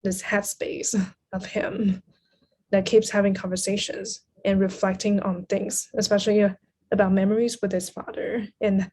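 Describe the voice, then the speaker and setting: Female podcast guest, mid-pitched and mellow, reflective literary conversation, thoughtful, searches for words. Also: little pitch movement, normal speed. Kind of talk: reflective book discussion.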